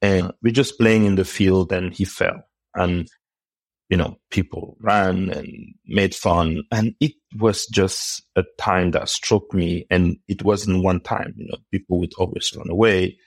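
Deep-voiced man, reflective. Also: fairly even intonation, gravelly, no echo. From Cameroon. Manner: reflective, unhurried storytelling with many "you know"s. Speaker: podcast guest, neurotechnologist. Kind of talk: casual interview conversation.

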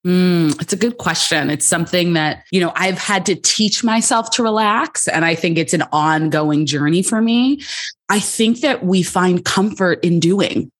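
Low-voiced calm woman. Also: measured pace. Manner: thoughtful, calm, laughs easily. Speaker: psychologist guest. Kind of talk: podcast interview.

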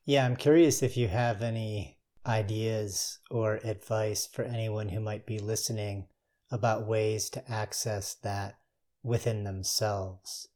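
A man with a moderately low voice hosts a conversational interview. He thinks aloud in a tentative, musing way, building his questions piece by piece. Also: fairly even intonation, slow pace, a little mellow. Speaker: interviewer, host.

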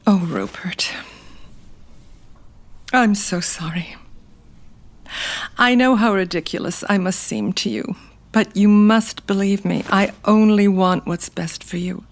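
A woman's voice: mid-range voice, takes it slowly, long pauses. A woman playing an imperious, sugary, scheming queen and doting mother in an audio fairytale, gushing and condescending. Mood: tearful pleading. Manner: gushing, condescending, theatrical endearments. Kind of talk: scripted audio fairytale comedy acting.

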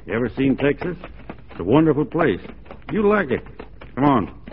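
An actor playing a modest, good-natured Texas Ranger cowboy, speaking in halting, folksy understatement in a 1940s radio drama. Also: male voice, medium pitch, sing-song, slight background noise, lightly acted. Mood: dry, easygoing. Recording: muffled, tinny 1940s radio recording.

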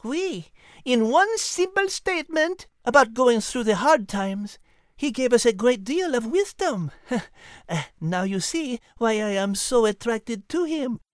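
High-voiced French lady dog, played by a woman. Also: lively intonation, measured pace, no room sound, studio quality. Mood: sweet, thoughtful. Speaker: co-host.

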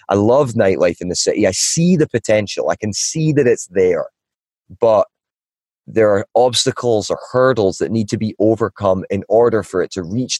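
A man from Scotland with a Scottish Highland accent, a podcast guest talking casually and rambling with many restarts. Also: a little mellow, no room sound. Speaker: guest, nightlife advocate.

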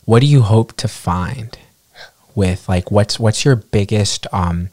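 A man, hosting a casual conversational interview in a tentative, halting way as he gathers his thoughts. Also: on the low side, darkish tone, dry recording, studio quality. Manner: tentative, halting, attentive listener. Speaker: podcast host, interviewer.

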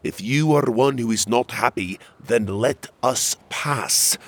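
Exiled royal warrior for a storybook, male narrator acting: stern, authoritative, heavily rasped and husky. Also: lowish voice, very animated, unhurried, fairly bright tone, exaggerated voice. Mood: commanding, indignant. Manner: commanding, grave.

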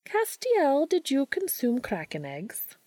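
A woman's voice: high voice, wildly swooping pitch, slow pace, warm tone, dry recording, voice pushed up, full character voice. A woman voicing a haughty, exasperated centuries-old witch with an arch, scolding manner in a story reading.

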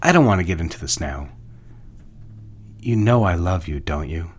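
A man playing a busy, patronizing businessman and sweet-talking lover in a period audio drama, smooth-talking, controlling and easily impatient. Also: low voice, expressive, moderate pace. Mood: impatient, placating.

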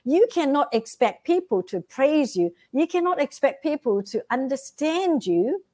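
A woman's voice: sits high, big pitch swings, unhurried, warm tone. A woman with a Vietnamese accent, a guest giving earnest motivational advice and often checking in with "right?". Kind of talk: motivational interview talk.

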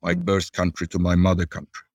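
An older man, answering interview questions in a reflective, halting, conversational way. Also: low voice, monotone, a little mellow, no room sound, muffled recording. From born in Tanzania, grew up there and in Sweden. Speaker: podcast guest.

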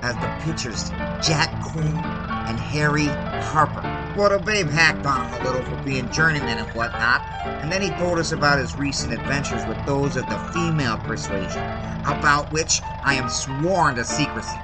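A man playing a chatty, excitable 1920s Chicago cab driver telling a story in a breezy, streetwise audio drama style. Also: medium pitch, normal speed, over loud music, close to an everyday voice, rough recording. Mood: chatty, nostalgic. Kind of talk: audio drama acting, animated first-person storytelling.

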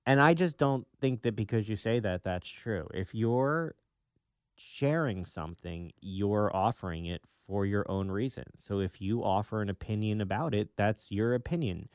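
Low-voiced man co-hosting sports talk. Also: lively intonation, normal speed, a little mellow, clean tone, no echo, clean studio sound. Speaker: sports talk show co-host. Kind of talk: conversational sports discussion.

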